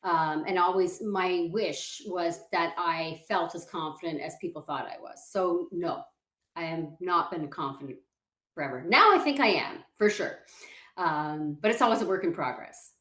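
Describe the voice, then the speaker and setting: Calm woman coaching over a roomy call. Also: fairly low pitch, moderate pace. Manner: calm, explanatory, walks the listener through exercises. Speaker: confidence coach, interview guest. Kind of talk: video call interview. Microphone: video call setup.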